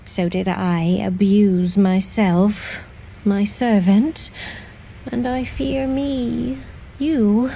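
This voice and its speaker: A woman performs a highborn, lovestruck lady in a Shakespearean audio drama. The delivery is slow and stately, at a middle pitch, with pride under the longing. Background noise is audible behind the voice. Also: fairly even intonation, creaky, mellow. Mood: ashamed, pleading. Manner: measured, sighing, aristocratic. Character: proud, lovestruck noble lady. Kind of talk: Shakespearean audio play acting.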